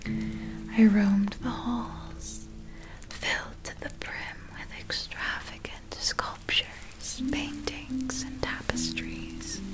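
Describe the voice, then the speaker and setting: A woman playing a wicked female vampire in a whispered ASMR roleplay, breathy and deliberate as she savors her storytelling. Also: medium pitch. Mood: hushed, reminiscing.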